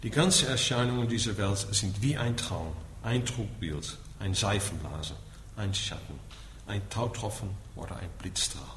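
A man's voice: on the low side, moderate pace, a bit bright. A middle-aged man from the Netherlands with a Dutch accent gives an explanatory talk as a Buddhist teacher, often tagging his points with a short questioning word. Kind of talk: spoken lecture to listeners. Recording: echoey room with faint background noise.

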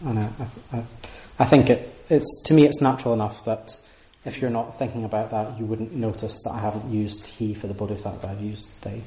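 A man's voice: on the low side, steady tone, brisk, few pauses, dark tone, echoey room, a little hiss or hum, low-quality recording. A man giving an informal lecture and answering audience questions, thoughtful and full of restarts. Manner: thoughtful, many restarts and hedging fillers. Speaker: lecturer on Sanskrit texts. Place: room with audience.

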